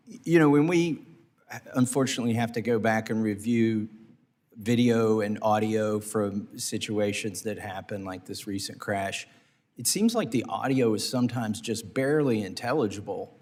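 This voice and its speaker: A congressman questioning witnesses at a committee hearing, halting with restarts and fillers. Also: on the low side, darkish tone, big-room sound. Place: hearing room.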